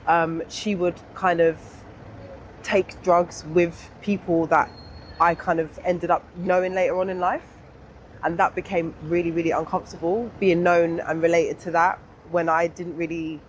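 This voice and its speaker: A young adult woman with a southern English accent speaks candidly and informally in an interview, pausing to think as she reflects. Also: fairly low pitch, level tone, a little hiss or hum. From England. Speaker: interview guest.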